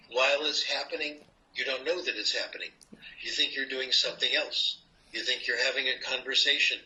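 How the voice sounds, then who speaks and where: Playful older American professor, bright voice. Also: male voice, medium pitch. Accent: American English. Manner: playful, lively teaching tone with asides. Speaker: linguistics professor, interview guest. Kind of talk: explaining to an interviewer. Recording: dull lo-fi recording.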